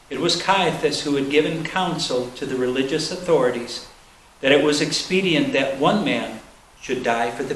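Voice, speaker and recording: A man's voice: medium pitch, fairly bright tone. A man reading scripture aloud in a solemn style at a church service. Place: large indoor church space. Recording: echoey room with faint noise.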